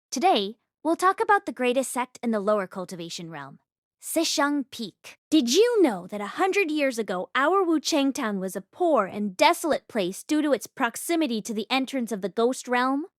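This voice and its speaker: A woman voicing an animated male storyteller who declaims local legends in an audiobook. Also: high voice, dry recording, studio quality.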